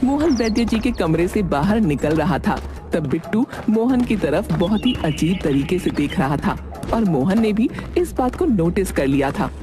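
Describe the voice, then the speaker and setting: Woman narrating a story over loud music. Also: fairly low pitch, very animated, a little slow.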